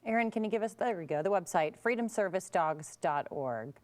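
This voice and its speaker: A woman's voice: lowish voice, lively intonation, brisk. An American woman presenting a live morning television show, introducing guests and putting questions to them conversationally. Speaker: TV morning news anchor.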